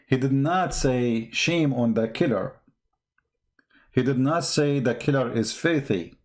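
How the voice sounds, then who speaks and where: A man with a mid-pitched voice gives impassioned, unscripted commentary on the news. He is not a native English speaker. He is emphatic, repeating key phrases and pressing his points with rhetorical questions. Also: lively intonation, measured pace.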